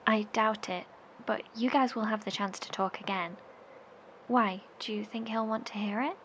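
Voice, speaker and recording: A woman playing a steady coordinator who talks to her team over a radio, calm and reassuring. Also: mid-range voice, moderate pace, close to an everyday voice. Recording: noisy recording.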